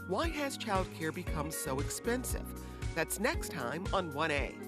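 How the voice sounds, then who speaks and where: A woman reading a scripted radio promo with a smooth, polished delivery, heard over a loud music bed. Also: lowish voice, big pitch swings, unhurried. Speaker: promo announcer.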